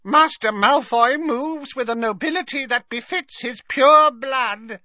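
A man voicing a sullen old house elf servant with a croaky voice and a haughty, servile manner in an audiobook. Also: very high-pitched, a little slow, bright, forceful tone, dry recording, studio quality, voice pushed up, exaggerated voice. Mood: reverent, haughty.

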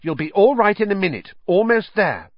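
Man doing a bright, anxious schoolgirl, pitched well up, for a storybook reading. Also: very animated, normal speed, dry recording, dull audio. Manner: anxious, earnest. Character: clever, earnest schoolgirl.

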